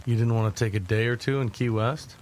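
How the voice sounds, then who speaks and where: A man playing a guarded, easygoing young hiker who narrates his own journey in a low-key, conversational way. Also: steady tone, brisk, darkish tone, slight background noise, lightly acted, low-quality recording. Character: John, easygoing but guarded young man hiking long-distance. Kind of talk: first-person audio drama narration and dialogue. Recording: music behind the voice.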